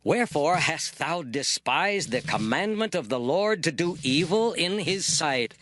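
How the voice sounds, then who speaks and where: Stern prophet, high and sweeping. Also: male voice, big pitch swings, measured pace, background noise. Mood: stern accusing. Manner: solemn, declamatory, emphatic. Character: stern male prophet delivering divine judgment. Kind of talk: dramatized scripture audio acting.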